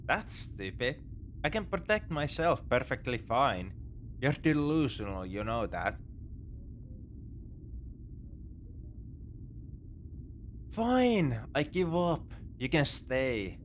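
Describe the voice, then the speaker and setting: A man playing a begrudgingly soft-hearted space pirate captor in a sci-fi audio roleplay, speaking to the listener in an exasperated, dismissive, teasing way. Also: mid-range voice, sing-song, slow pace, a little hiss or hum. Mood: exasperated, relenting. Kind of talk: sci-fi ASMR roleplay acting, addressing the listener.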